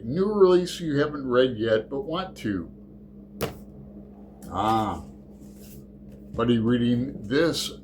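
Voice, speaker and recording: A middle-aged man talks through a book tag on his channel, taking his time and drifting into side stories. His voice sits at an ordinary pitch and he keeps stopping to correct himself. A faint room ambience hangs behind him. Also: slight background noise. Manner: rambling, digressive, halting with fillers and self-corrections. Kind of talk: casual unscripted book vlog.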